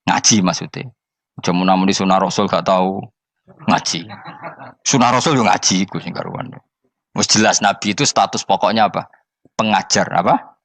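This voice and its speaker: A man from Indonesia with a Javanese accent, preaching in a relaxed, joking, storytelling way, often asking rhetorical questions and repeating his points. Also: on the low side, dry recording. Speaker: Islamic preacher. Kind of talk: sermon.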